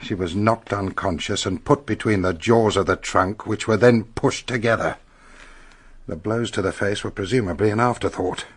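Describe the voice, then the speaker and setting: A man's voice: on the low side, normal speed, breathy edge. A man playing a brusque, determined police investigator in a radio drama, blunt and businesslike.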